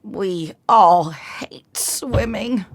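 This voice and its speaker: A woman's voice: medium pitch, very slow. A woman voicing an eager, boastful female animal nurse sidekick in an audio drama. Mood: proud reassurance.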